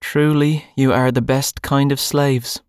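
A man's voice: medium pitch, flat delivery, measured pace, clear, resonant tone, dry recording, studio quality, voice pushed up, close to an everyday voice. A man voicing a young, stern male pirate captain who speaks in cold, clipped commands. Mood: cool, mocking.

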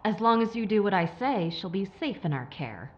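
A woman's voice: medium pitch, sing-song, moderate pace, shouting, highs cut off, lightly acted. A woman playing a sly, menacing corporate operative in an audio drama, taunting with mock sympathy. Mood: taunting menace. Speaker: villain.

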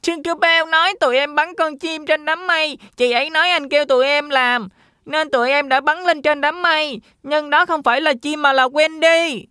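High, bright little boy. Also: normal speed, no echo. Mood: anxious. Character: eager, innocent little lost boy. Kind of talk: dubbed children's cartoon acting.

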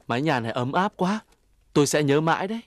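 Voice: mid-range voice, animated, fast talker, dry recording, lightly acted. Deceitful weasel for a kids' cartoon actor voicing: swooping, oily, boastful delivery, dry sound. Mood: friendly, grateful. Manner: wheedling, swings into boastful cackling. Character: sly deceitful weasel, fake-friendly, gloating when triumphant. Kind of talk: Vietnamese children's cartoon acting.

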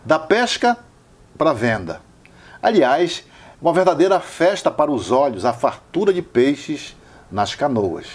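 A man's voice: on the high side, sing-song, measured pace. A man narrating a travel video voice-over about a fish market, with admiring delivery.

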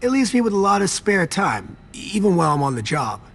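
A servile, jittery vampire garage attendant in a game cutscene. His husky voice swings widely in pitch as he ingratiates himself and dodges around things. He sounds eager to please and easily cowed. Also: big pitch swings, a little fast, full character voice. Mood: eager, explaining. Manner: pleading, deferential, evasive. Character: nervous, servile young vampire garage attendant hiding a secret. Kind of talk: video game cutscene acting.

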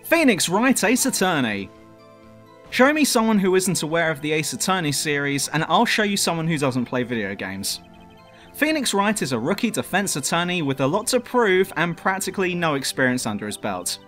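A man's voice: a little high, big pitch swings, normal speed, a bit bright. A man reading a scripted review narration in a dramatic, emphatic way, as the presenter of a countdown video. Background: music.

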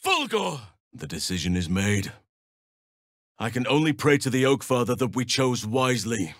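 An actor playing a wise, gentle and steadfast elf druid in a fantasy video game, speaking in measured, formal phrases. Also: male voice, mid-range voice, very animated, no room sound, studio quality. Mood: fierce battle cries. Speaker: video game companion character. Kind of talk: acted fantasy game dialogue.

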